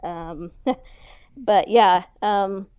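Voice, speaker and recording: A woman co-hosting a podcast, chatting casually in a halting, informal way. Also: mid-range voice, clean tone, no echo, highs cut off. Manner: halting, informal, frequent "like" and "you know". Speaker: podcast co-host and audio drama writer. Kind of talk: casual conversational chat.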